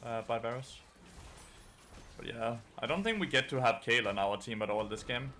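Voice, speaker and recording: Danish-accented male streamer, relaxed and profane, bright voice. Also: medium pitch, normal speed, a little hiss or hum. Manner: casual, swears, answers chat between plays. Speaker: game streamer and coach. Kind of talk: casual gameplay commentary.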